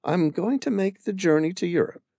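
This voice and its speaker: A man's voice: high voice, lively intonation, creaky, warm tone, dry recording, studio quality, pitched above the performer's own voice, heavily acted. A man reading aloud, voicing a wary, determined woman stranded abroad who guards her secrets. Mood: wistful. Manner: wary, quick to shut down.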